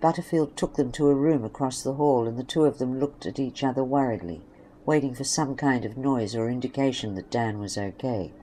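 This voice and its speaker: A male narrator reads a scripted story. His pitch is average for a man, and a light layer of background noise sits under the voice. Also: a little fast, mellow, rough recording.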